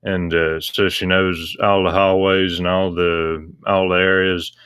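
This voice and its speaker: A man explaining things casually as a guest, in a hesitant, measured way. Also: low-pitched, flat delivery, fairly bright tone, smooth, no echo.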